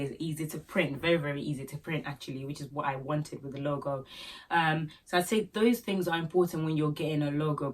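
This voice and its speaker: A woman's voice: low-pitched, far from the mic. A woman from Nigeria with a Nigerian Yoruba accent, chatting casually to camera and giving business tips with joking asides and fillers. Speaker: small business owner and vlogger.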